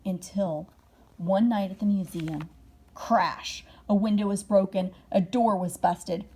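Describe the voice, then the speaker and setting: A woman reading a children's bedtime story aloud expressively, drawing out words and dropping into hushed moments. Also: mid-range voice, fairly even intonation, slow pace, slightly rough, low-quality recording. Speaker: storybook reader.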